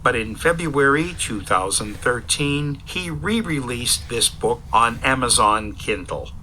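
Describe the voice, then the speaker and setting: A man's voice: medium pitch, animated, unhurried, bright, forceful tone, slight background noise, poor audio. A man narrating a scripted author biography.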